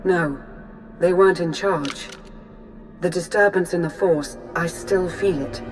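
An actress playing a composed woman agent who speaks in a calm, steady way. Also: on the low side, measured pace, over music, close to an everyday voice.

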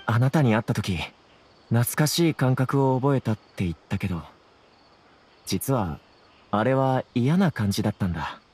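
A man's voice: medium pitch, lively intonation, normal speed, a little mellow. A man playing an earnest, troubled young scholar with polite speech in a Japanese game. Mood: earnest, apologetic. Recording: clear background noise, insect ambience.

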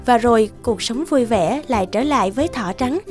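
Higher-pitched woman storyteller. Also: lively intonation, normal speed, a little mellow, soft background music. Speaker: narrator. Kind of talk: scripted children's story narration.

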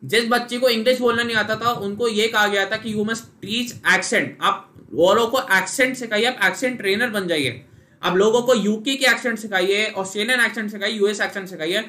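A man's voice: high-pitched, steady tone, fairly quick, sharp tone. A young Indian man coaching students in a live online class, explaining patiently and calling on viewers by name. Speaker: SSB interview coach.

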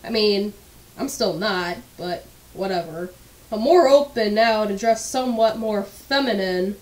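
A woman's voice: medium pitch, darkish tone, rough recording. Stuffy-voiced young woman telling a story. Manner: candid, blunt, swears casually. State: stuffy, slightly congested voice. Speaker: animated storytime narrator. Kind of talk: casual storytime narration.

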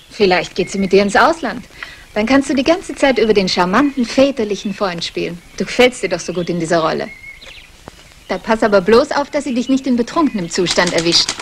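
A woman's voice: mid-range voice, animated, fairly quick, lightly acted. An actress playing a sardonic, scheming woman in a 1960s German radio crime play, teasing and mocking. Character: mocking, scheming female companion of a fugitive man. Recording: noisy old radio play recording.

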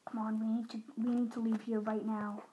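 High-voiced young-sounding female gamer, faint noise. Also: little pitch movement, a little fast, warm tone, muffled recording. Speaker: gamer roleplaying in a survival video game. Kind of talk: improvised roleplay chat while playing.

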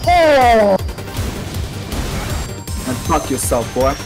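High-pitched, slangy gamer man over blaring music. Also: animated, fast talker. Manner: repeats phrases frantically, slangy. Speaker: gamer playing co-op. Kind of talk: casual gameplay chat with a friend. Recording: very loud game music behind voice. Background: video game music and effects.

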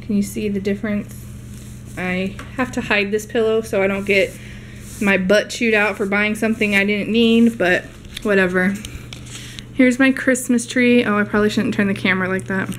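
A woman's voice: mid-range voice, steady tone, moderate pace. Young woman, chatty, handheld camera at home, light background noise. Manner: chatty, rambling show-and-tell. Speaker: vlogger. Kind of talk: casual haul video narration. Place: home living room.

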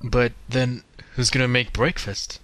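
A man playing a caring, teasing young husband and father in a fan-dubbed animated drama. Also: mid-range voice, unhurried, no room sound, lightly acted. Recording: noisy amateur dub, clicks and birds.

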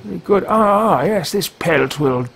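A man voicing an arrogant wizard mercenary who talks to himself, in a pompous, theatrical, drawn-out manner while playing a game. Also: high-pitched, lively intonation, vocal fry, fairly bright tone, breathy edge, soft background music, voice pushed up, heavily acted. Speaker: let's play roleplayer. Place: small room with bookshelves, indoors. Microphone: headset mic at a desk webcam.

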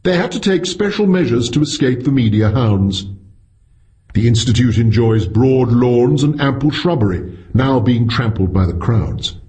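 A man reads science fiction as an audiobook narrator. His pitch is medium and moves in lively, wide swings through the scripted prose. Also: normal speed.

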